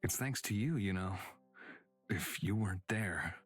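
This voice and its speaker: An actor playing a loyal, battle-hardened companion in a visual novel game, plain-spoken and steady. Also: male voice, low-pitched, a little slow, slightly rough, faint music behind, heavily acted. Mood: devoted, bashful. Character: loyal, battle-hardened male companion, once hot-headed.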